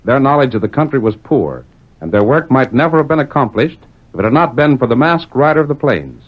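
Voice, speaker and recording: Animated American radio announcer, a man. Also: mid-range voice, brisk. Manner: dramatic, declamatory. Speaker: announcer and narrator. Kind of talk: 1940s radio scripted narration. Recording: muffled 1940s radio recording with music.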